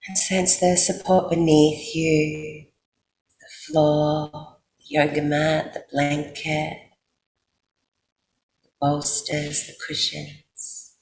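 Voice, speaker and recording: A woman with an Australian accent guides a sleep meditation in a soothing, unhurried way, leaving long pauses. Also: low-pitched, little pitch movement, darkish tone. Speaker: yoga nidra guide. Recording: roomy echo, faint background noise.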